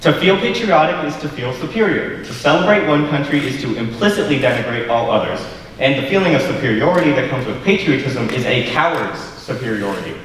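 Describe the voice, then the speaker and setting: A young man acting a contrary, cynical drunken poet in a high school stage play, speaking in a deliberate, articulate, argumentative way. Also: lively intonation, fairly bright tone, natural voice. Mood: cool, provoking. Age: young adult. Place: large school auditorium stage. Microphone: far camera. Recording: distant, echoey auditorium with faint noise.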